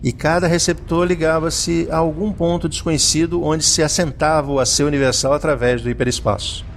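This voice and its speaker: A man's voice: a little high, steady tone, brisk, creaky, a little hiss or hum. A man narrating a scripted audio drama in a solemn, literary reading style, with music behind him.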